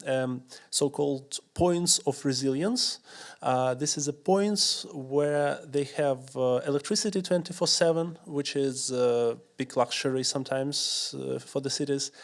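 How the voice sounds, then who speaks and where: A man answering a question on a panel in a measured way, with frequent pauses and restarts. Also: medium pitch. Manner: measured, many fillers and restarts. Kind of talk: panel discussion.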